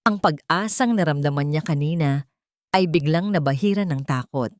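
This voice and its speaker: Low-pitched woman telling a dramatic story. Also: moderate pace, no room sound, studio quality. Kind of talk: dramatic scripted story narration.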